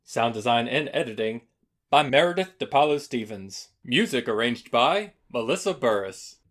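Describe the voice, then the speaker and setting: Mid-pitched male announcer. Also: expressive, moderate pace, a bit bright. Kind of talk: scripted closing credits announcement.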